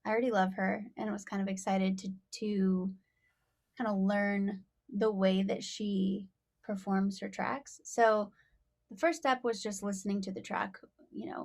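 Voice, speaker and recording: A young woman speaking as a guest in a casual interview. Her voice sits at a middle pitch, and she talks in a bubbly, easygoing way with lots of "like" and "you know." She comes across as an artist talking about her own work. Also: a little mellow. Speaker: rapper and songwriter guest.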